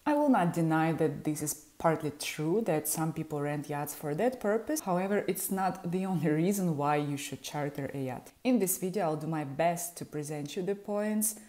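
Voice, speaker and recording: Woman with ukrainian accent, warm low tone, explains things to the camera like a vlog, small room at home. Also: animated, moderate pace, hardly any pauses. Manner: friendly, explanatory, talks with her hands. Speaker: yacht charter specialist. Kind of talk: informative vlog talk to camera. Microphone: earphone mic, webcam framing.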